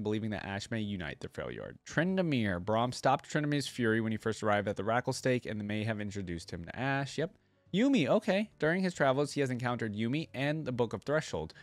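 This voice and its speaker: A man gives casual commentary as a reaction host, reading aloud and musing as he goes. His mid-pitched voice is recorded close and dry, with faint music underneath. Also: no room sound.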